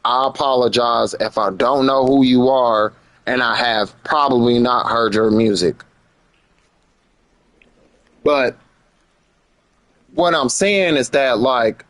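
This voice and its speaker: A man's voice: medium pitch, slow, long pauses, fairly bright tone, no room sound. An American man chatting casually on a livestream in slangy speech, repeating phrases for emphasis. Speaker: live streamer. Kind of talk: casual livestream commentary chat.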